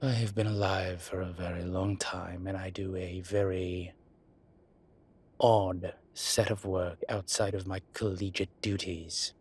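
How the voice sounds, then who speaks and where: Casting: male actor as age-old demon academic for audio roleplay, breathy and husky, unhurried, gruffly tender and contrite, close one-to-one delivery. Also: low voice, flat delivery, darkish tone. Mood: wry, reassuring. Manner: slow, halting, measured delivery with sighs. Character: ancient male demon professor, gruff but contrite and caring.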